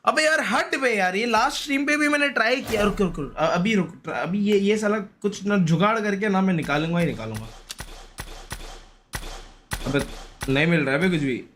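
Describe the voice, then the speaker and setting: A man's voice: sits high, normal speed, fairly bright tone, a little hiss or hum. A man with an Indian accent chatting casually to viewers on a game stream, slangy and repetitive, often exclaiming and grumbling. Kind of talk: casual live stream chat with viewers while gaming.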